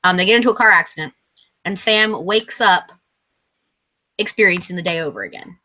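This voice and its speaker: A woman's voice: fairly low pitch, fairly quick, sharp tone. A tired woman who is losing her voice, co-hosting a casual live book chat in a rambling, hesitant, self-correcting way. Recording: dull lo-fi stream audio.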